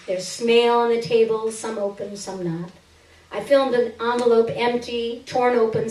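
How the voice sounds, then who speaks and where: Distant, roomy-sounding woman reading dramatically. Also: medium pitch. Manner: expressive, theatrical delivery. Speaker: reader performing a short story on stage. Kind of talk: dramatic first-person literary reading. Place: live stage reading indoors. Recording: roomy stage, distant microphone.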